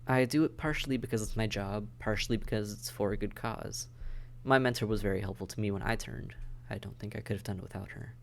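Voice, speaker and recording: Guy playing a friendly vampire mentor in an audio roleplay, lowish creaky voice, wry and matter of fact. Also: fast talker. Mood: helpful, friendly. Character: friendly, dry-witted male vampire caseworker and mentor. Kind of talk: conversational audio roleplay, one side of a dialogue.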